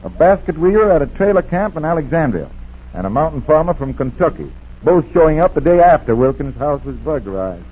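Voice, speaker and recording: Suspicious male detective, musing and brisk, lively intonation, tinny vintage radio drama. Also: a little high. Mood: pondering. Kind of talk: 1940s radio acting. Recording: tinny, rough 1940s radio recording.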